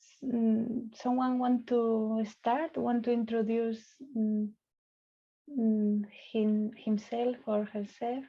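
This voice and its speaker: A woman from Spain with a Spanish accent, a psychologist leading an online debate in slow, hesitant English full of fillers. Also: fairly high pitch, level tone, gravelly. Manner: slow, hesitant, frequent fillers and light laughs. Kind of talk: online discussion session. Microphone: video call. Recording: dull lo-fi call audio.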